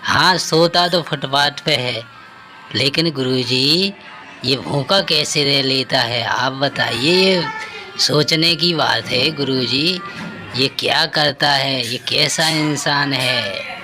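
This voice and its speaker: A man voicing an earnest character in a devotional cartoon tale, speaking deliberately and respectfully. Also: mid-range voice, music in the background, lightly acted. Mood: suspicious, puzzled. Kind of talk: cartoon story dialogue.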